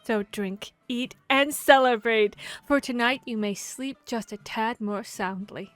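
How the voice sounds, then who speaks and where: A woman playing a boastful, playful vampire hunter in a fantasy audio roleplay, speaking in a theatrical, expressive storytelling manner. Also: fairly high pitch, unhurried, clear, resonant tone, no echo. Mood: triumphant. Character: boastful, playful female vampire hunter telling tavern tales. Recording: music behind the voice. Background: background music, tavern ambience.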